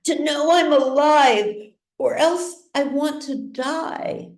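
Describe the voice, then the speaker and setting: A woman's voice: high voice, takes it slowly, pitched above the performer's own voice. A woman voicing a bitter, anguished young man trapped inside himself, in a storybook reading with a dramatic, brooding manner. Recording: roomy echo, distant mic.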